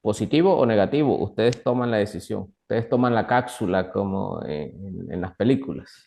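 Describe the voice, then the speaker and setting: A young man with a Colombian Caribbean accent, explaining things as an expert guest in an interview, in a halting way with repeated words. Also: fairly low pitch, lively intonation, creaky, mellow. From northern Colombia. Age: young adult. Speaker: guest doctor, nutrition expert. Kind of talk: interview, explaining to a lay audience.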